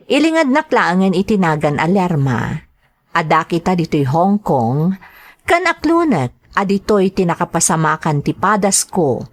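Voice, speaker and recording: A Filipino woman with an Ilocano accent, hosting a radio drama and reading out greetings and letters. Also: fairly low pitch. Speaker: radio drama host and narrator.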